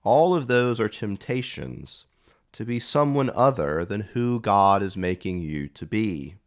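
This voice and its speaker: A man giving a reflective sermon as a preacher, speaking deliberately in short, measured phrases. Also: lowish voice, lively intonation.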